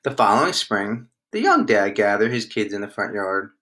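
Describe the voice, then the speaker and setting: A man reading a children's storybook aloud in an expressive storytelling manner. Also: fairly low pitch, moderate pace. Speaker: storybook reader.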